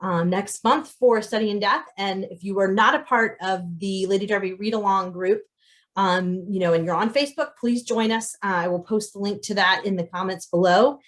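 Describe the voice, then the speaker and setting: A woman's voice: medium pitch, normal speed, fairly bright tone. A woman chatting casually and effusively to viewers as an author hosting an unscripted question-and-answer livestream. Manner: effusive, often chuckles mid-sentence.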